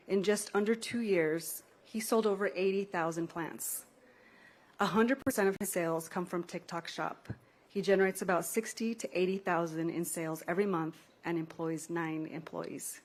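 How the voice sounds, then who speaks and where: A woman with a somewhat low voice speaks as a company representative. She delivers prepared testimony in a measured, formal and scripted manner.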